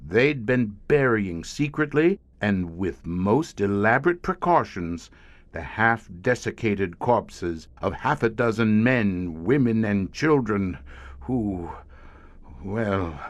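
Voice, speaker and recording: A haunted, learned veteran of a secret monster squad in an audio horror drama. His low voice moves slowly and deliberately, with brooding pauses and wide dramatic swells. The sound is close and dry. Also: expressive, dry recording. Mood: measured, confiding. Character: grim veteran scientist heading a secret subway monster squad.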